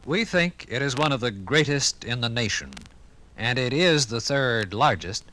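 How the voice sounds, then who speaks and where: A man's voice: mid-range voice, animated, a little slow, noisy background. An American man giving a formal, scripted 1950s radio presentation as an academic guide. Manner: formal, enumerating. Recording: vintage 1950s radio recording.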